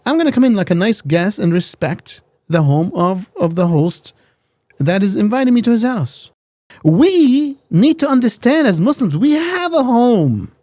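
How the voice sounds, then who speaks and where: Give me an emphatic man with a high, lively voice, recorded dry and close. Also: moderate pace, dry recording. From America. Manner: animated, emphatic, repeats words when building a point. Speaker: podcast co-host giving opinions. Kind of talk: casual debate chat.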